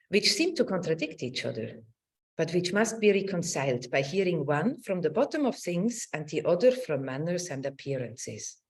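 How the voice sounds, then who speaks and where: A middle-aged woman giving an academic lecture over a video call, with a halting, searching delivery that circles back over words. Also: on the low side. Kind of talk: academic lecture with slides and read quotations. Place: indoors on a video call with screen sharing.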